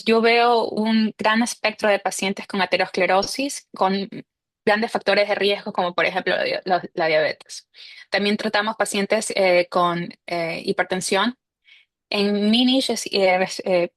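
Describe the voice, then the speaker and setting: A woman's voice: mid-range voice, steady tone, no echo. Ecuadorian woman cardiologist, dry close sound. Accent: Ecuadorian Spanish. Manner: measured, with frequent "este" fillers and English terms mixed in. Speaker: cardiologist guest. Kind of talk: interview answers.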